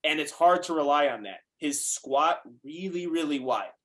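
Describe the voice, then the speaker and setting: A man in his late twenties talking powerlifting on a podcast, quick and animated, cursing casually. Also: a little high. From United States. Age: 20s. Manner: fast, animated, swears freely. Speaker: guest powerlifter.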